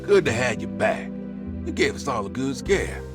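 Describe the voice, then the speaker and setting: A man playing a calm, folksy asylum hand in a horror game. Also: medium pitch, moderate pace, over music, natural voice. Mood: friendly, concerned. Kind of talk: cinematic horror game acting.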